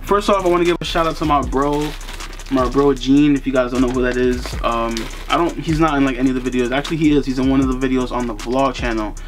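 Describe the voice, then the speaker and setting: A man's voice: mid-range voice, fast, slight background noise. Laid-back young man chatting casually. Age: young adult. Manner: laid-back, chatty, lots of "you know" fillers. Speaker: sneaker and streetwear vlogger. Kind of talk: casual unboxing vlog. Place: bedroom with sneaker boxes, indoors.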